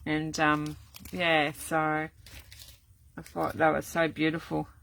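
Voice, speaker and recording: An older woman, chatting casually through a live card-making demo, rambling with many ums and restarts, her voice going croaky. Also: sits low, monotone, takes it slowly, fairly bright tone. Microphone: camera set up at desk.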